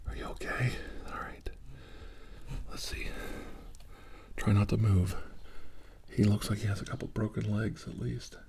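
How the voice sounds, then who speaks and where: A man playing a calm 1940s army doctor in an improvised ASMR role-play, muttering and often whispering reassurance. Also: on the low side, measured pace, creaky, long pauses, husky, full character voice. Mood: reassuring, concerned. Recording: noisy recording with clicks.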